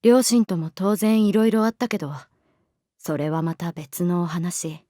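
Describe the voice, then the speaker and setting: A woman playing a stubborn but fragile runaway middle-school girl in a Japanese voice drama, speaking softly and haltingly with emotion. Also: lowish voice, no room sound, clean studio sound, close to an everyday voice. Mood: wistful narration.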